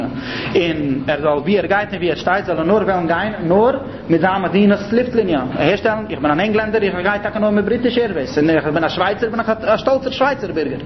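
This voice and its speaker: A man with a Yiddish accent giving an explanatory lecture in a didactic way, repeating and rephrasing his points. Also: high voice. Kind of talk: explanatory religious-political lecture. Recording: muffled, noisy, echoey room recording.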